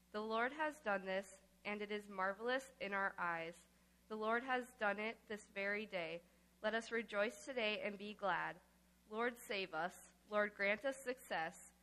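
A woman's voice: medium pitch, bright, forceful tone, poor audio. Woman reading scripture aloud in church. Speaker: scripture reader at church service. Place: large church sanctuary.

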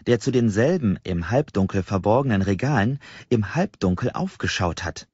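A male audiobook narrator with a lowish, husky voice reads a first-person novel. The recording is very dry and slightly dull. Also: darkish tone, no echo.

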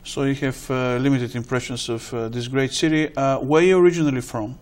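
A man's voice: mid-range voice, fairly even intonation. Man hosting a cable talk show. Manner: slow, deliberate questions with many "uh" pauses. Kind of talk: studio interview. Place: TV studio with blue curtain.

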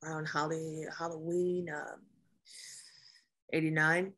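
A woman acting a grieving, guarded female naval officer and caretaker at a screenplay table reading, with measured, halting delivery. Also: sits low, level tone, a bit bright, full character voice. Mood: fond, sad.

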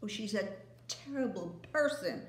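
Woman reading a bright, earnest storybook girl, eager and raised, roomy. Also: medium pitch, a little slow, natural voice. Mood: excited. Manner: excited, breathless telling. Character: excited kind little girl, bright and earnest. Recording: roomy echo.

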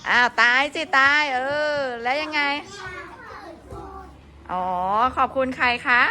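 A woman's voice: high-pitched, takes it slowly, sharp tone. A woman kindergarten teacher prompting young children with simple, coaxing questions as they perform a story. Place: noisy room at a kindergarten. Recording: noisy room with children around.

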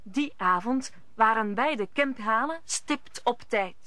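A woman's voice: a little high, animated, slow, very noisy. A woman narrating an old Dutch radio play in a scripted storytelling style.

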